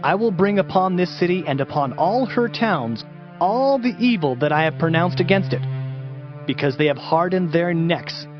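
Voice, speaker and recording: Stern biblical prophet, higher voice, declamatory, slightly muffled sound, scripture drama. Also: male voice, animated, normal speed. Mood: stern proclamation. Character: stern male Hebrew prophet proclaiming doom. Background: prominent music.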